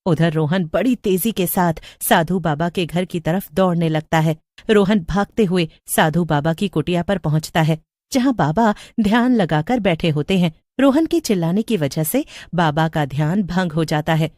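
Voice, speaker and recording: A woman's voice: lowish voice, normal speed, a little mellow, dry recording, clean studio sound. A woman narrating a scripted story as a storyteller.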